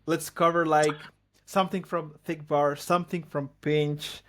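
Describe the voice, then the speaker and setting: A man with a somewhat high voice hosts the interview over a video call. He asks short, direct questions. His delivery stays plain and to the point. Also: flat delivery, unhurried, fairly bright tone, slight background noise. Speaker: interview host.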